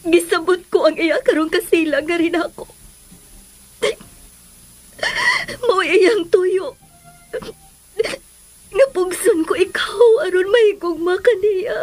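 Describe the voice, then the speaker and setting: An actress playing a sorrowful, lovelorn middle-aged mother in a melodramatic radio drama, with a Filipino accent and a breathy, tearful delivery. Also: very high-pitched, unhurried, darkish tone. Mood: tearful pleading. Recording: radio drama with music underneath.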